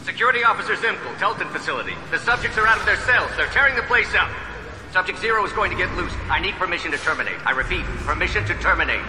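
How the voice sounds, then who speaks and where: An actor playing an alarmed security officer in a video game, reporting urgently in clipped phrases on an echoing, noisy recorded log. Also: male voice, sits high, animated, quick pace. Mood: panicked, urgent. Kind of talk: video game dialogue acting. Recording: echoey hall, noisy old security log.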